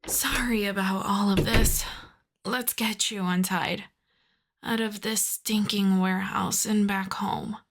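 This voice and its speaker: Audio drama casting: female mafia boss acted by a woman, wry and courteous under exasperation, sweary, medium pitch, leisurely delivery. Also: steady tone, slow. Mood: wry, apologetic. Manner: wry, sweary, conversational, addresses listener as darling. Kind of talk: roleplay audio drama, ASMR.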